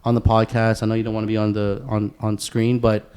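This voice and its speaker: A man's voice: on the low side, completely flat delivery, brisk, darkish tone, smooth. A man hosting a casual podcast, talking in a rambling, informal way with plenty of swearing and slang. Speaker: podcast host.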